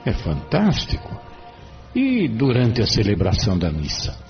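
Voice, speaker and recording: A kindly spirit mentor, played by a man, with a lowish, very animated voice. He speaks courteously and curiously in audio drama style, over soft music. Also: measured pace, exaggerated voice. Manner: formal, kindly, inquisitive. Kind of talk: audio novela dialogue. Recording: dull sound with faint music.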